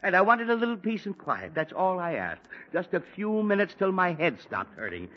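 A man's voice: high voice, big pitch swings, normal speed. An actor playing an exasperated middle-aged father with a headache, blustering in 1940s radio comedy style, on a dull old broadcast recording. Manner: blustering, fussy, flustered outbursts.

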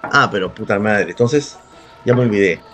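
A man's voice: lowish voice, lively intonation, normal speed, a bit bright. A man with a Peruvian Spanish accent chatting casually as a podcast co-host, opinionated, slangy and heavily profane. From Peru. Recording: music playing behind the voice. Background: background music.

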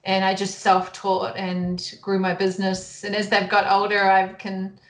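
Woman, new zealand accent, 30s-40s, friendly and chatty, mid pitch, roomy echo. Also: monotone, unhurried. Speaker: podcast guest, portrait photographer. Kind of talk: casual interview chat.

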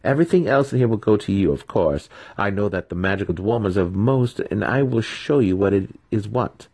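A man voicing a sly, cruel young male dark elf apprentice, teasing and matter-of-fact about menace, in a fantasy storybook reading. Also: fairly low pitch, expressive, a little fast, slightly rough, pitched above the performer's own voice. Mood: demanding, boastful.

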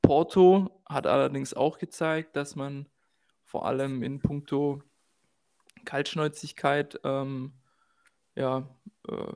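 A man co-hosting a casual football podcast, giving measured analysis with frequent hesitations. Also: mid-range voice, fairly even intonation, creaky, a little mellow. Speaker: podcast co-host.

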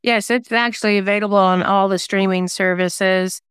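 A woman's voice: medium pitch, steady tone, normal speed, a bit bright, smooth, dry recording, studio quality. A woman who writes songs, chatting casually as an interview guest. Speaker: singer-songwriter guest.

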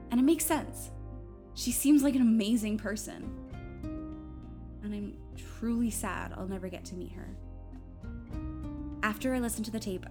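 A woman's voice: mid-range voice, close to an everyday voice. A woman playing a sincere, sentimental young narrator, confiding her story in a radio play. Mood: earnest, resolved. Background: music under the voice.

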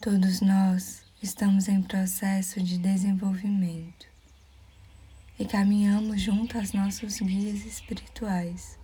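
Breathy, slow Brazilian woman guiding meditation. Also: mid-range voice. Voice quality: soft, breathy, near-whisper. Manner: calm, soothing, measured with pauses. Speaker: meditation guide. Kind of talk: guided meditation.

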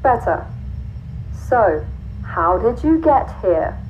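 An actress playing a level-headed, firm squad leader in a sci-fi audio drama, questioning in a controlled way through a radio filter. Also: female voice, mid-range voice, sing-song, slow, a little mellow. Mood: probing. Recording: tinny radio sound with faint noise.

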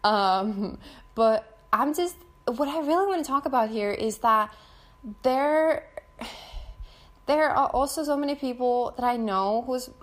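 A young woman who is not a native English speaker, hosting her own podcast in a casual, chatty way, full of fillers and quick laughs. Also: on the high side, lively intonation. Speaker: podcast host. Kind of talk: casual solo podcast talk.

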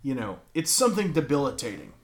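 A man co-hosting a podcast in playful, rambling banter. His pitch swings a lot as he talks, and he likes to drop into mock-serious asides. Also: huge pitch swings, fast talker. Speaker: podcast co-host. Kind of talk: casual banter.

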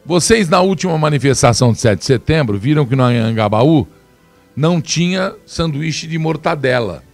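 A man giving opinionated commentary, slowing down to stress his points with emphatic, deliberate delivery. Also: on the high side, lively intonation, gravelly, a little mellow, faint music behind. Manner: emphatic, slows down to stress words. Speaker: commentator presenting video clips.

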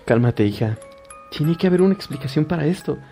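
Hombre haciendo de una madre preocupada que calma. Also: male voice, a little high, brisk, creaky, faint music behind. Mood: calming. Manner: soothing, firm, reassuring. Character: worried middle-aged mother, calming.

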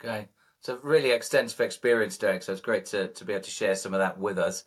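A man hosting a podcast speaks in a lowish voice at a brisk pace. He puts polite, measured questions to his guest and keeps the interview moving. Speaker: podcast host.

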